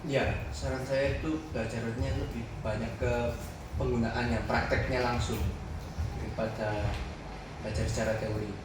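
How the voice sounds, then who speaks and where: A man's voice: mid-range voice, flat delivery, normal speed, music in the background. A teenage boy answering podcast questions in a casual, hesitant way, with frequent restarts and short laughs. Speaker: podcast guest. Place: school room. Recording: echoey room, distant mic, noisy.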